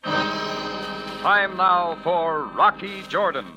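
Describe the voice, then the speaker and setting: A man reading a dramatic, measured introduction as a vintage radio announcer. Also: sits high, sing-song. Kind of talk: 1940s radio scripted narration. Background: music.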